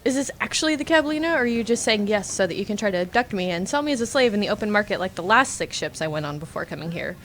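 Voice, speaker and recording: Woman acting a blunt, lively female space client. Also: mid-range voice, racing, hardly any pauses, a little hiss or hum, natural voice. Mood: wary. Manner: blunt, matter-of-fact. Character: suspicious, blunt young woman hiring a space crew. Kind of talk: comedic audio drama dialogue.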